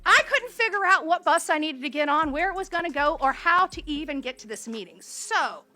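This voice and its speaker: A woman activist giving informal, halting public comment at a government meeting, pausing often. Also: sits high, animated, pushed and bright. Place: county meeting room. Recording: music behind the voice. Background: music.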